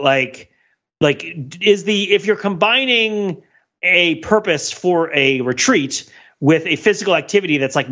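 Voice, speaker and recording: Higher-voiced man rambling casually, dull audio. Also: bright, forceful tone. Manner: rambling, self-interrupting, thinking aloud. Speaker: podcast host. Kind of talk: casual conversational discussion.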